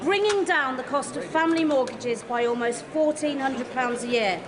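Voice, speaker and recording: A woman's voice: high voice, moderate pace, a crowd in the background. A woman member of parliament asking an upbeat, formal question. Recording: chamber with murmuring benches.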